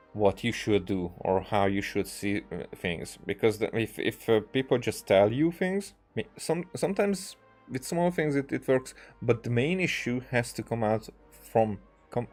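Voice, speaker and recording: Halting low-voiced man doing game commentary. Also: darkish tone. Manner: halting, searching for words, rambling. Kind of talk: casual let's-play commentary. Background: faint game music.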